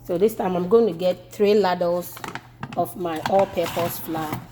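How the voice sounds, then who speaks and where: A Ghanaian woman talks through a home cooking demonstration in her kitchen. Her voice is low and mellow, and she speaks slowly, repeating phrases as she works. A faint hum sits behind her. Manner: relaxed, repeats herself while working.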